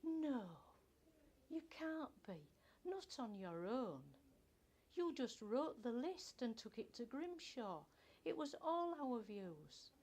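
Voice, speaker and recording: A woman's voice: fairly high pitch, very animated, takes it slowly, darkish tone, voice pushed up, exaggerated voice. A woman reading a story plays a loyal, take-charge young female co-worker who speaks emphatically. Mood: indignant.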